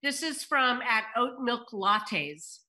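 A woman's voice: fairly high pitch, expressive, takes it slowly, sharp tone. A middle-aged woman moderating on a video call, reading out script directions and audience questions. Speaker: moderator and stage-direction reader. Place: home room, video call.

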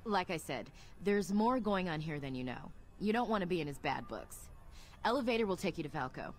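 An actress playing a brisk, confident female military officer in a video game. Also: lowish voice, expressive, lightly acted. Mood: brisk, assured.

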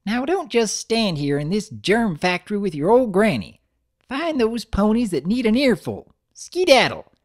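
A man's voice: sits high, very animated, moderate pace, pitched above the performer's own voice. A man voicing a bossy, loving, folksy old granny pony in a story reading. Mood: bossy, urging. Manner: folksy, scolding.

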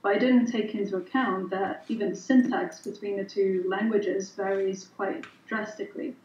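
A woman's voice: medium pitch, steady tone, moderate pace, a little mellow. A woman whose first language is Italian, chatting on a panel with many fillers and restarts over a headset. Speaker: illustrator panelist. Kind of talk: casual panel chat. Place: home studio room. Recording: echoey room, dull video call audio.

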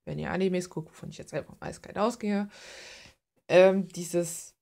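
A woman's voice: fairly low pitch, steady tone, normal speed, creaky, a little mellow. A young adult woman rambling casually in an informal, playful, slangy way, like someone showing her hobby on a vlog. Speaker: hobby artist and video maker.

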